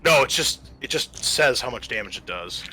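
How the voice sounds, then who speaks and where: Bright-voiced male game master. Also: medium pitch, lively intonation, normal speed, faint music behind. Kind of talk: running a tabletop roleplaying game, improvised rulings.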